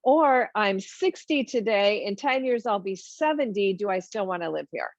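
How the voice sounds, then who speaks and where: A woman's voice: mid-range voice, expressive, normal speed, no room sound, muffled recording. A woman hosting a finance webinar, talking informally and emphatically through numbered points with frequent ums and asides.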